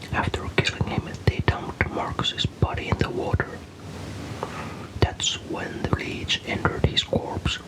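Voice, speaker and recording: A man whispers a story for ASMR, entirely unvoiced and breathy. He is from Finland and narrates with calm, careful, unhurried phrasing, keeping the delivery soft throughout. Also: low-quality recording.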